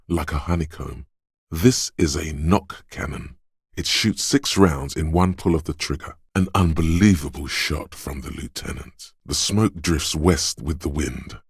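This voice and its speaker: Very deep, husky game narrator. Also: male voice, no room sound, clean studio sound, heavily acted. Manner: measured, deliberate, dramatic delivery. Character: solemn inner voice of physical instinct and marksmanship. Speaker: video game voice actor. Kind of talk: scripted game narration, inner voice.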